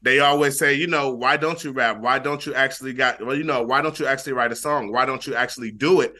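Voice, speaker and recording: A young man from Flint, Michigan, talks in a loose, laid-back way, with a bright, forceful voice. He repeats himself and leans on fillers as he tells his story in a casual interview. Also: mid-range voice, fairly even intonation, fast. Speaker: guest rapper.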